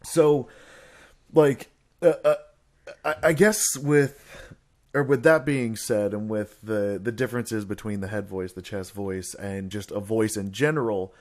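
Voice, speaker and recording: A man's voice: on the low side, fairly even intonation, a little slow. A young man hosting a casual podcast chat. He rambles, often restarts his sentences and laughs between thoughts. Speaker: podcast host.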